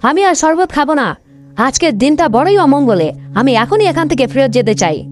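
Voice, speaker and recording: A woman's voice: high-pitched, big pitch swings, moderate pace, faint music behind. A woman voicing a young, fretful princess in a fairy-tale cartoon, speaking in a theatrical, plaintive way. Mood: annoyed.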